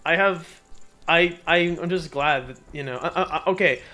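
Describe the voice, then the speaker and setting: A man giving casual video game commentary as the host, playful and often pausing to find his words. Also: fairly high pitch, bright, forceful tone. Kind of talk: casual Let's Play commentary.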